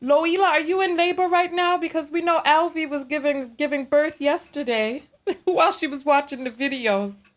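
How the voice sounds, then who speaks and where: A woman's voice: sits high, normal speed. A woman with a New York accent hosting a casual livestream, chatting and reading viewer comments, laughing and repeating herself. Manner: chatty, animated, laughs often, repeats phrases. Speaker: livestream host.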